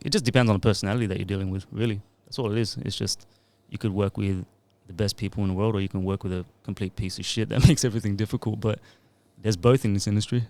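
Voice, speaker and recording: Laid-back low-voiced man. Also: darkish tone. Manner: laid-back, rambling, many fillers. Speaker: music producer and DJ. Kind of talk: casual podcast interview. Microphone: podcast mic.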